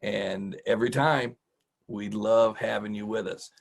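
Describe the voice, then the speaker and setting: A man's voice: on the low side, fairly even intonation, measured pace, vocal fry. A middle-aged man hosting an informal, encouraging vocabulary lesson for children in a folksy, casual way. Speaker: host, teacher.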